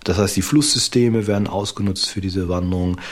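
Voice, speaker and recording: Deep, husky male academic expert. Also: steady tone. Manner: academic, deliberate phrasing. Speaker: expert interviewee. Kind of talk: explanatory interview talk.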